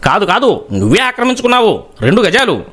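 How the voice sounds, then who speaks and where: A man's voice: high voice, wildly swooping pitch, fast talker, fairly bright tone, heavily acted. A man voicing a greedy, stubborn village farmer in a moral story, arguing and accusing. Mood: angry, accusing. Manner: accusing, argumentative. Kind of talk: moral-story character acting.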